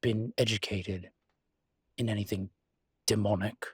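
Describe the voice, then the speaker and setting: Guy acting as a classy demon professor, husky and super dry close sound, halting, kinda irritated but patient, intimate audio rp. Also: on the low side, fairly even intonation, no echo. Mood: thoughtful, explaining. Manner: hesitant, halting, genteel explanations. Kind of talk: intimate audio roleplay monologue.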